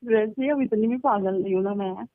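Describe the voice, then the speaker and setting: A woman's voice: mid-range voice, moderate pace, dry recording. A woman chatting casually over the telephone, telling a personal story in a rambling, repetitive way. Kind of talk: casual phone chat, recounting anecdotes. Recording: muffled, band-limited phone call recording.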